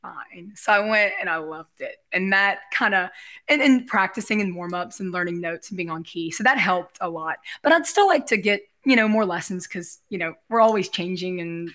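A woman with a raspy voice chatting casually as an interview guest, in an effusive, giggly, rambling way. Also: on the low side, animated, normal speed, fairly bright tone. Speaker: guest, realtor and musician.

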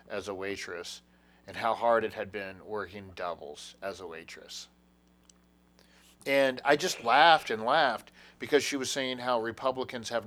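A man in a casual chat, talking in an animated, mocking way and calling his friend dude. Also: lowish voice.

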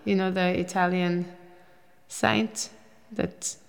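A woman in her fifties with a Norwegian accent talks in a rather low voice. She chats freely in a casual interview and rambles through her recollections. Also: little pitch movement, slow pace, gravelly. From Norway. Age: 50s. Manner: chatty, rambling with restarts and laughs.

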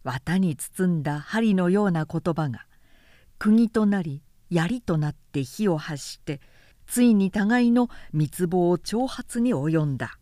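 A woman reads literary narration aloud in a measured, articulate way. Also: fairly low pitch, expressive, dark tone, no echo, studio quality. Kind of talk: scripted literary narration, audiobook reading.

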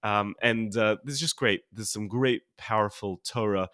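Low-voiced American man, sniffly with a cold. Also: fairly bright tone, no echo, clean studio sound. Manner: informal, halting, rephrasing as he goes. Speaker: podcast host and religious teacher. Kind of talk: conversational solo podcast teaching.

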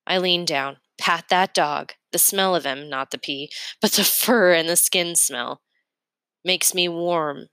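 A woman reading a children's novel aloud in an expressive, first-person storybook style. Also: lowish voice, takes it slowly, clear, resonant tone, no room sound.

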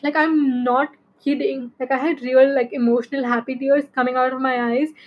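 Young woman with an Indian accent, high voice, podcast host, chatty and rambling, full of fillers. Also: poor audio. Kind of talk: casual solo podcast talk.

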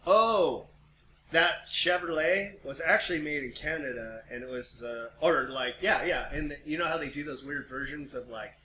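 Bright-voiced man rambling in an echoey room. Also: a little high, normal speed. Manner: animated, jokey, rambling. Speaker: podcast co-host. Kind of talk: casual chat. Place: small room, podcast setup. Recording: distant echoey mic, background noise.

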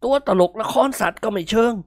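A reader voicing a gruff, pompous old nobleman who barks and scolds in an audiobook. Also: quick pace, a little mellow, dry recording, clean studio sound, lightly acted. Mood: amused. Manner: barking, blustery scolding. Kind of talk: audiobook character voice.

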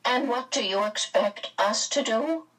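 A woman voicing a calm, grave female guardian being in a storybook, measured, firm and regretful. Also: on the high side, slightly rough, recorded from a distance, voice pushed up, full character voice. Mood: cold.

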